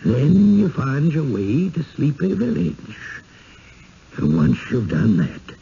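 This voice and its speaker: An actor playing a courteous, eerie village official who patiently coaxes newcomers, in 1970s radio drama style. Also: male voice, mid-range voice, wildly swooping pitch, slow pace, vocal fry, warm tone, breathy edge, exaggerated voice. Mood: eerily reassuring. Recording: dull, hissy old radio broadcast.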